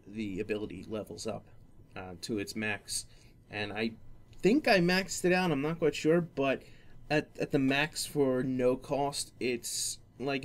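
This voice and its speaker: A man hosting a casual gaming podcast, explaining things in a rambling, hesitant way and often correcting himself. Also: mid-range voice. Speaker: podcast host.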